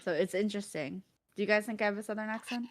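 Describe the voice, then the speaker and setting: A woman's voice: mid-range voice, fast talker. A young woman from Connecticut chatting casually while streaming, rambling through stories and laughing often. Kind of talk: casual chat while gaming.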